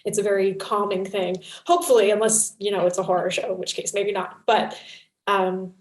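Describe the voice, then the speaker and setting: A woman's voice: medium pitch, darkish tone. A woman speaking as a writer on a discussion panel, talking in an effusive, rambling, conversational way. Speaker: panelist, fiction podcast writer. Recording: roomy, echoing sound.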